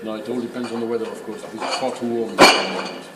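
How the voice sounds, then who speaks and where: A man's voice: mid-range voice, level tone, rapid-fire. A man working as a brewery tour guide, explaining things informally in an emphatic, opinionated, didactic way. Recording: echoey room, clear background noise.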